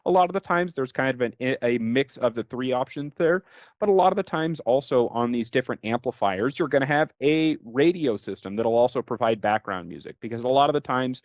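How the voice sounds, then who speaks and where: A man's voice: mid-range voice, a little fast, no echo, muffled recording. An American man presenting an informal technical slide lecture in a conversational, colloquial way, explaining with everyday examples. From United States.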